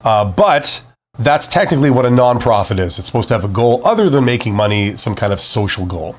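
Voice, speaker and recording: Male streamer-teacher, mid-pitched, voice a little off from a recent flu, chatty and full of fillers. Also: fairly quick. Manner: relaxed, talks directly to viewers with frequent "uh" fillers. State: recovering from flu, voice a bit off. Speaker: host and business teacher. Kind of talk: casual live-stream lecture.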